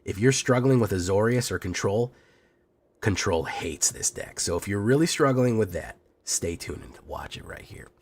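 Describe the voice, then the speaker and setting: A young man hosting a card game channel, talking through his deck in a playful showman patter while sick, his voice rough. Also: lowish voice, lively intonation, normal speed, darkish tone. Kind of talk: casual deck walkthrough.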